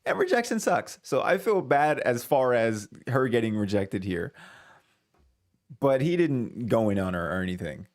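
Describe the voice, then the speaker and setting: A man's voice: medium pitch, big pitch swings, normal speed, a little mellow. A man hosting a reaction video, casually thinking aloud, hedging and restarting his sentences. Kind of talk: casual commentary.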